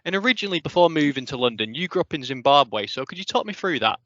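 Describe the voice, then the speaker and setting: A male interviewer speaks quickly in a bright, mid-pitched voice. His questions are fluent and conversational. The sound is very dry, with a slightly lo-fi dullness. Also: sing-song, dry recording.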